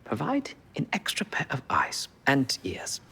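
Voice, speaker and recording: An actor playing a fussy, courteous, sly tech expert in a video game, speaking formally and theatrically with precise diction. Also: male voice, mid-range voice, huge pitch swings, a little slow, vocal fry, bright, forceful tone, husky, whispered, full character voice. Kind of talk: video game cutscene acting.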